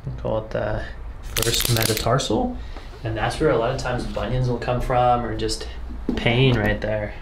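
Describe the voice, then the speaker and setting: A man's voice: medium pitch, fairly even intonation, measured pace. A man working as a chiropractor, explaining treatment to a patient in a reassuring, conversational way as he works. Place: indoor treatment room. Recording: clear background noise, treatment room ambience.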